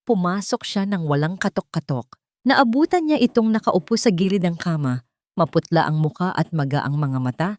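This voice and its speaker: A woman narrates a romantic drama in a low-pitched voice. The delivery is scripted, dramatic storytelling, and the recording is very dry with no room sound. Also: expressive, normal speed, a little mellow, dry recording.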